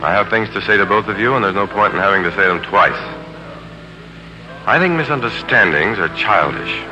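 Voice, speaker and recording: An American actor playing a smooth, sardonic, tormented businessman and father in a 1940s radio drama. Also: on the low side, expressive, normal speed, highs cut off, over music, close to an everyday voice. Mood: firm, composed. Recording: humming, noisy 1940s radio recording.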